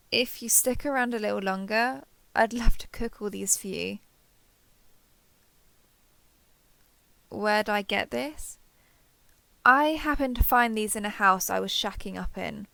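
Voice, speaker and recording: A woman's voice: fairly high pitch, creaky, dry recording, heavily acted. A woman playing a lonely, clingy alien posing as a human survivor in an intimate audio roleplay, speaking hesitantly and coaxingly to the listener. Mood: friendly, coaxing. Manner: hesitant, coaxing, softly over-eager to please.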